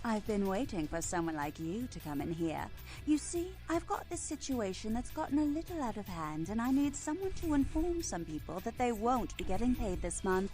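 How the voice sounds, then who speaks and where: A brash, flirtatious club owner from a video game, played by a woman. She talks in a sassy, teasing and businesslike way, with very animated pitch. Faint music plays behind her. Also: moderate pace, few pauses, lightly acted. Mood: brash, coaxing.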